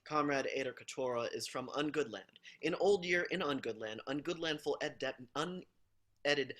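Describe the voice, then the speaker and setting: A man's voice: fairly high pitch, moderate pace, a bit bright, close to an everyday voice. A man reading the part of a rigid, patriotic teacher's assistant who talks in clipped official jargon, in an amateur play reading. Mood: dogmatic. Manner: clipped invented jargon, stiff and dogmatic.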